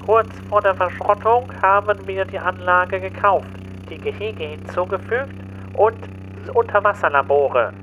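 Brief: male performer as matter-of-fact facility guide, raised pitch, dull sound over noise. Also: unhurried. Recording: muffled, with background noise.

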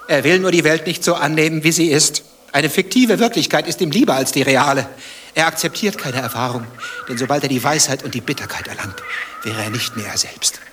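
A man's voice: a little high, a bit bright, lightly acted. Man acting brooding, bitter betrayed husband over background noise. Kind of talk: German radio play dialogue.

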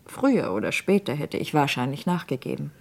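Low, husky, probing woman. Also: big pitch swings, fairly quick, exaggerated voice. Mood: anxious, earnest. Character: anguished, probing doctor's wife investigating a killing. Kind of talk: German radio crime drama acting.

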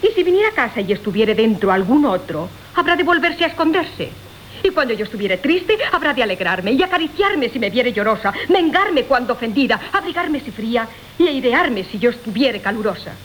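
Demanding, aggrieved young kept woman, high-pitched declamation for period radio farce, light hiss. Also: very animated, a little fast. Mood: haughty demanding. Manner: declamatory, mock-formal. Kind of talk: theatrical radio play acting of classical comedy. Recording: hissy old radio recording.

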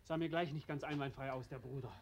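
An actor playing a brisk, dismissive man of an officer's household in a 1940s radio play. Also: medium pitch, fairly even intonation, natural voice. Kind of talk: 1947 German radio play acting. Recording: faintly noisy old radio recording.

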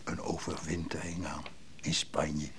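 An actor playing a gruff, sly old craftsman in a care home in a Dutch radio play, blunt and grumbling with a chuckle. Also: rumbling low, flat delivery, a little slow, slight background noise. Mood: bargaining. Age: older.

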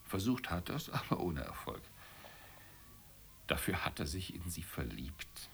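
A man's voice: low voice, very animated, unhurried, gravelly, a bit bright, exaggerated voice. An actor playing a weary, middle-aged middle-class man who grumbles and muses wryly in a naturalistic radio drama. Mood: scornful.

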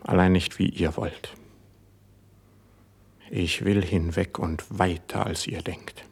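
An actor playing a wounded, proud young poet in a classical German radio verse drama, with husky, solemn, declamatory diction. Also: male voice, sits low, flat delivery, slow pace, exaggerated voice. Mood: bitter, brooding.

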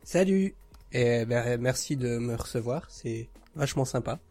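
A man's voice: medium pitch, lively intonation, unhurried, mellow. A man in his thirties from France, chatting informally as a podcast guest and telling his story with hesitations. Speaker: podcast guest, audio fiction creator. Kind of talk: casual chat.

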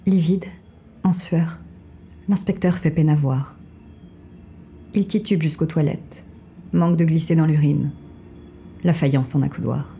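An actress playing a mysterious resistance agent in a noir audio drama, speaking formally with cool, polite composure and quiet command. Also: female voice, measured pace, creaky, long pauses. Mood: cool, composed. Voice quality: low, dark. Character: mysterious female resistance agent guiding the inspector, coolly composed.

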